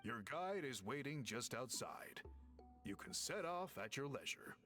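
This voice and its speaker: A man playing a polite, businesslike tribe official in a video game, speaking in measured, formal phrases. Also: medium pitch, sing-song, pushed and bright, soft background music. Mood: assured.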